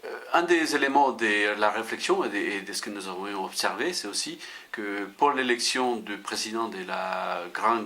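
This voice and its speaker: A man's voice: fairly low pitch, lively intonation, normal speed, pushed and bright. A man conducting a conversational political interview, feeling his way through questions and repeating words as he goes.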